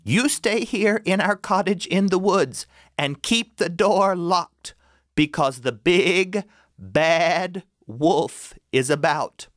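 Man playing mama goat, high, sing-song, caring, storybook. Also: slow, a bit bright, no echo, clean studio sound. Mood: fussy. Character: caring mother goat, adult female.

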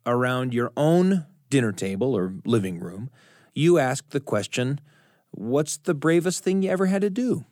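Expressive male radio host, folksy storyteller. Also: medium pitch, dry recording, studio quality. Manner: conversational, dramatic pauses. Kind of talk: scripted narration, folksy storytelling. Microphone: close studio microphone.

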